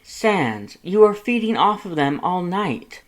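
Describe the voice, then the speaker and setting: A loud, grandiose skeleton brother from a storybook. He speaks high and forcefully, over-enunciating every formal phrase. He is earnest, dramatic and very sure of himself. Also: sing-song, slow pace, full character voice. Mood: earnest, scolding.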